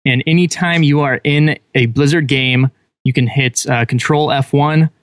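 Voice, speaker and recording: A man's voice: a little high, steady tone, moderate pace, dry recording, clean studio sound. Matter-of-fact male podcast guest. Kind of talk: casual podcast chat.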